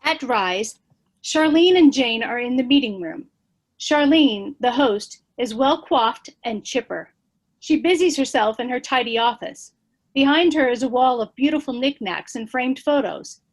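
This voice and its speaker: Lady reading stage directions, kinda high, steady. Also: a little slow.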